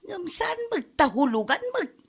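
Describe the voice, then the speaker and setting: Animated Ilocano gossip, played by a woman, highish voice. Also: a little slow, creaky, full character voice. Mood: scornful. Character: gossipy woman scorning show-off neighbours. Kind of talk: Ilocano comedy drama acting.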